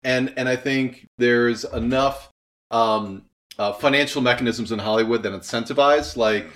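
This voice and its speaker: A man with a mid-pitched voice talks thoughtfully in an interview. He explains things earnestly and articulately, like a creator discussing his craft. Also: normal speed, fairly bright tone. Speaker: audio drama writer and creator, interview guest.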